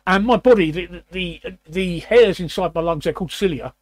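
A man in his sixties with a croaky, raspy voice, hosting a casual chat and often clearing his throat. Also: sits high, moderate pace, no echo. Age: 60s. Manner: repeats words, frequent throat clearing. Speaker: host.

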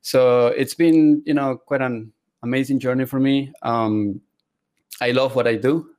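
A man's voice: mid-range voice, fairly even intonation, measured pace, darkish tone. A man from Ecuador who speaks natively, answering interview questions in an earnest, casual way. He laughs often and uses many fillers, talking over a video call. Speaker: guest 3D artist.

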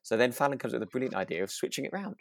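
A man's voice: medium pitch, big pitch swings, racing, vocal fry, no room sound, studio quality. A man co-hosting a casual review podcast, agreeable and a little hesitant, often chuckling as he talks. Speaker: co-host of a radio drama review podcast. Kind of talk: casual chat.

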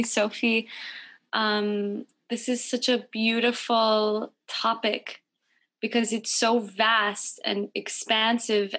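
A woman's voice: fairly high pitch, fairly bright tone. A woman in her thirties, a musician, answering interview questions thoughtfully over a video call. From United States. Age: 30s. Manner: thoughtful, slow, searching. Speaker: guest musician. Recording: lo-fi call audio.

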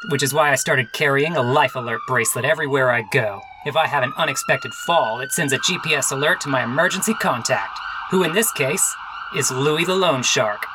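An actor playing a sardonic, melodramatic hard-boiled private detective in a parody radio mystery. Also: male voice, mid-range voice, background noise. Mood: smug.

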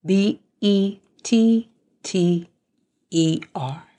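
Very slow-spoken woman, deliberate monologue. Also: medium pitch, warm tone. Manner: deliberate, measured delivery with pauses. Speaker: podcast host. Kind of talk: scripted spoken-word monologue.

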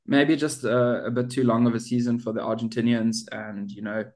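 A man's voice: mid-range voice, level tone, a little fast, darkish tone. A man co-hosting a casual sports chat podcast, bantering and laughing at his own jokes. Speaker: podcast co-host.

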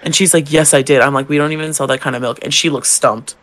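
A man's voice: on the high side, fairly even intonation, quick pace, fairly bright tone. A young man, a drag queen co-hosting a podcast, telling work stories in casual chat, swearing and acting out customers' lines. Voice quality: gravelly, feminine-leaning voice.

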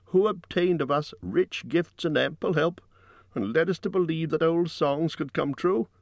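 Male reader as scheming old politician, raised pitch, pompous, oratorical, indignant, self-serving, fantasy audiobook, dry sound. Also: lively intonation, unhurried, no room sound, dull audio. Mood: indignant, pleading.

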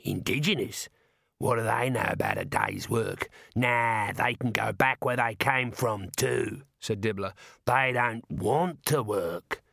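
A man's voice: on the low side, takes it slowly, gravelly, a bit bright, no room sound, clean studio sound, full character voice. A man voicing a shifty, pushy male street hawker, talking in a wheedling sales patter. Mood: dismissive. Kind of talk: audiobook character reading.

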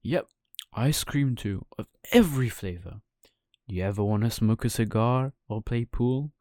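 A man's voice: medium pitch, moderate pace, darkish tone, close to an everyday voice. A man playing a sly coachman who coaxes children in a persuasive, slick way, as a storybook villain. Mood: coaxing. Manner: coaxing, persuasive.

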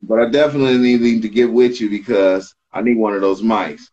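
A man with a deep voice, joining a live podcast over a video call and cheering the host on. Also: steady tone, fairly quick, highs cut off, crowd noise. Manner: encouraging, hypes the host. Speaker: guest family member.

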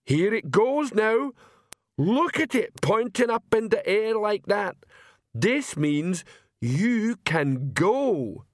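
A big, dim male troll guard speaks in a drawn-out, earnest way, pitched up and a little louder, with a man doing the voice. The sound is dry and close, as in an audiobook. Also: very animated, dry recording, full character voice. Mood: earnest simple. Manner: slow, simple, earnest.

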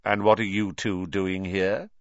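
A suspicious, menacing schoolmaster, acted by a man narrating a storybook. He speaks low with a formal, deliberate sneer. The recording is dry and close. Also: little pitch movement, dry recording, highs cut off. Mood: cold, suspicious. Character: cold, suspicious, menacing adult male schoolteacher.

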